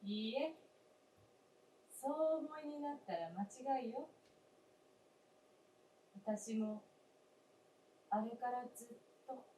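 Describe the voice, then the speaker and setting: Woman acting a high, slow-speaking wistful ghost wife. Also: warm tone. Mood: frank, reproving. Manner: polite old-fashioned feminine speech, slow and deliberate. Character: gentle, wistful spirit of a dead wife, a snow figure. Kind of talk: staged radio drama acting. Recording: roomy stage, distant mic, faint noise.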